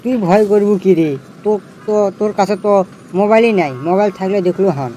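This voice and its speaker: Comedy cartoon male actor as jittery young village lad, high voice, excitable colloquial griping, northern Bangladeshi accent. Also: normal speed, slight background noise, lightly acted. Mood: teasing. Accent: northern Bangladeshi regional. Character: anxious, panicky young village man scared of snakes. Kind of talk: comic cartoon dialogue.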